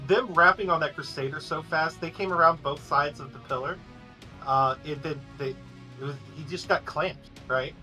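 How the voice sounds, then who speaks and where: A man's voice: fairly high pitch, normal speed, sharp tone, soft background music. A man co-casting an esports match, chatty and casual, laughing easily. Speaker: esports co-caster. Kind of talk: casual commentary.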